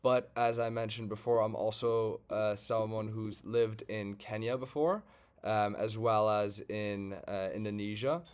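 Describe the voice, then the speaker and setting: A man from Germany, a data scientist, walking through an online data set in a relaxed, conversational tutorial with frequent fillers. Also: lowish voice, measured pace, smooth. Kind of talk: screen-share tutorial walkthrough.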